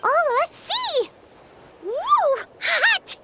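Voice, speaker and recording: An actress playing a tiny, childlike, cheeky fairy companion in a video game, squeaky and exclamatory. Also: female voice, very animated, extremely slow, sharp tone, a little hiss or hum, full character voice. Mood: excited. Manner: squeaky, exclamatory, speaks of self in third person. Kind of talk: video game character acting.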